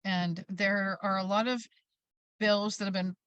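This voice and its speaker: A woman's voice: medium pitch, measured pace, clear, resonant tone, no echo, highs cut off. A middle-aged American woman speaking as an interview guest, making an emphatic advocate's case and acting out quotes as she tells stories. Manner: emphatic, animated storytelling with acted-out quotes. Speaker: interview guest, parents' advocacy group leader. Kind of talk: passionate advocacy talk in an interview.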